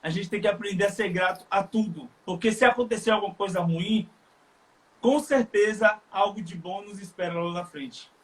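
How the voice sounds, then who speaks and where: A man from Salvador with a Bahian accent, speaking earnestly and haltingly in a casual chat, with many fillers. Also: sits high, fairly even intonation, fairly bright tone, rough recording. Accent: Bahian Brazilian Portuguese. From Salvador, Bahia, Brazil. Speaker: live stream guest.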